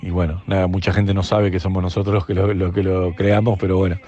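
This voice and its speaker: A man's voice: low voice, little pitch movement, fast, mellow. A man with an Argentine accent reminiscing casually in an interview, in slightly muffled audio.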